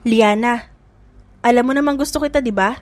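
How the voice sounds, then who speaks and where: A woman voicing a gentle, lovelorn young man in an audiobook drama, earnest and accepting. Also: on the high side, normal speed, no room sound, natural voice. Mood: earnest, wistful.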